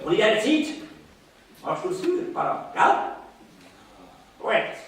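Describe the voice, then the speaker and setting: A woman's voice: high voice, sing-song, measured pace, creaky, heavily acted. An actress playing a bossy, cackling forest witch who orders her robber husband about in children's musical theatre. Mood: commanding. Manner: commanding, cackling, exaggerated. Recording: distant echoey live hall, audience noise.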